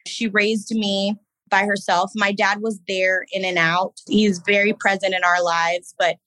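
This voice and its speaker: A woman in her thirties from Haiti, a podcast guest telling her story in an unhurried, reflective way with fillers. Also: medium pitch, little pitch movement, bright, forceful tone, dry recording, rough recording. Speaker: guest, business owner and Navy veteran. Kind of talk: casual interview talk.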